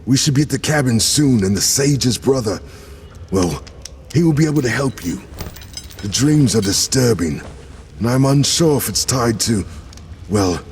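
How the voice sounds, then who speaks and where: A man acting in an audio drama as a steady, knowing guide and loyal companion, deliberate and reassuring. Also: medium pitch, close to an everyday voice. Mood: concerned. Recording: long reverb, faint ambient noise.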